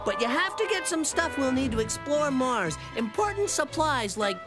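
A woman's voice: high-pitched, very animated, moderate pace, over loud music. A woman voicing a bossy, boastful, self-important little boy in a cartoon. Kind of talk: cartoon voice acting.

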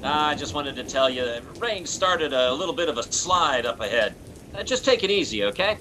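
A man's voice: fairly high pitch, expressive, a little fast, bright, forceful tone, a little hiss or hum. A man playing an easygoing but officious motorcycle cop in an old-time radio suspense drama. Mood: official, easygoing. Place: indoors at home on a video call.

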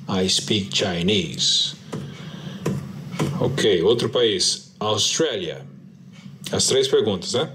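A man with a Brazilian Portuguese accent teaching English, modelling short answers slowly and repeating them for practice. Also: on the low side, noisy background. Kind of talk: slow drill practice lesson.

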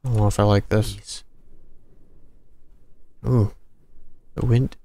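A man in his twenties doing casual gameplay commentary and telling personal stories in an animated, rambling, conversational way. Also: on the low side, takes it slowly, no echo. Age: 20s. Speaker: gaming video host. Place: small dim room at home, indoors.

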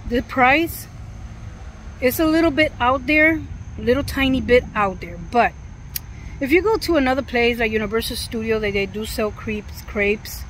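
A middle-aged woman giving a casual food review to the camera, halting and repeating words as she goes. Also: a little high, a bit bright, slight background noise, low-quality recording. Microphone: camera or phone at arm's length. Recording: music behind the voice.

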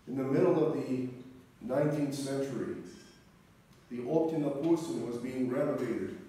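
A middle-aged man, an Orthodox priest, preaching a sermon in a solemn, deliberate manner with measured pauses. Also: mid-range voice, warm tone. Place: large church interior, speaking from the front. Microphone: distant camera microphone. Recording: echoey church, distant mic, faint noise.

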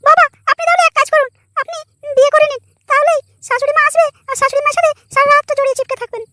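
A dutiful young daughter-in-law from a comedy cartoon. The voice is extremely high, squeaky and bright, racing along in a placating, pleading way. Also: female voice, steady tone, racing, no room sound. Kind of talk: comic cartoon dialogue.

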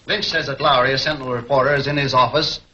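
Scheming older gang chief, sharp forceful voice, muffled vintage serial sound. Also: male voice, mid-range voice, fairly even intonation, fast talker. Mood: curt, scheming. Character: hard, scheming older male racket boss. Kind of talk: 1940s film serial acting. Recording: dull 1940s film soundtrack.

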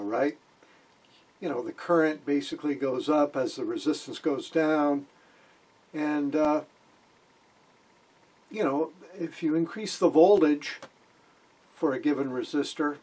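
An older man hosts an informal hobby electronics lesson. His voice is husky and sits a little high for a man. He explains things in a folksy, wry way. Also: darkish tone, rough recording. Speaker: amateur radio hobbyist, video host. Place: small wood-panelled room indoors.

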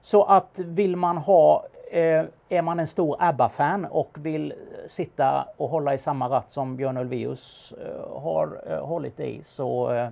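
Gravelly-voiced man explaining, hesitant pauses. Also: on the high side, fairly even intonation, darkish tone, dull audio. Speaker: car expert answering questions about an auction car. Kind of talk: casual expert commentary in a studio talk.

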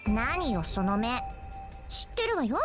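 High-pitched, teasing anime girl archer. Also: big pitch swings, unhurried, over music. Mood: coy, teasing. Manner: coy, teasing, theatrical. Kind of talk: Japanese anime game voice acting.

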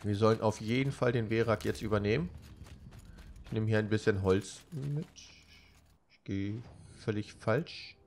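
A man's voice: fairly low pitch, a little slow, pauses often, a little hiss or hum. A German man with a cold, chatting casually while commenting on gameplay, rambling and jokey. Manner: chatty, rambling, gets loud and jokey when panicking. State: has a cold. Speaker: let's play commentator.

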